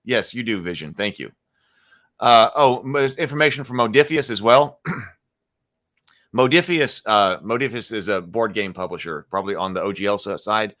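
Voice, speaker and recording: Male stream host, low and punchy, rambling and unscripted, full of restarts. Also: moderate pace, fairly bright tone. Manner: rambling, thinks aloud with frequent restarts and fillers; reads viewer chat comments aloud and replies. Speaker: livestream host. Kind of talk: casual live-stream chat about tabletop gaming.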